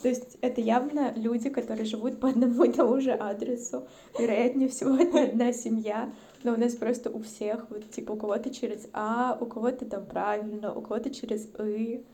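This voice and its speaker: Podcast guest: woman from the North Caucasus, gravelly, mellow low tone, reflective and chatty. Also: fairly even intonation, brisk. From North Caucasus, Russia. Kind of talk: casual chat.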